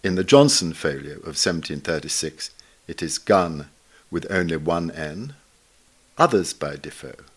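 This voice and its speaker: A man reading a scripted literary text aloud in a measured, bookish way, with deliberate pauses between items. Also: a bass voice, lively intonation, husky. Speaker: reader of a literary essay.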